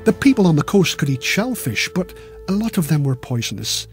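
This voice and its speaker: A man's voice: a little high, very animated, fairly quick, soft background music. A man voicing a starving Irish countryman who grimly recalls hardship in an audiobook. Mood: grim recollection. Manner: grim, listing item by item. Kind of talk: acted eyewitness quote in audiobook.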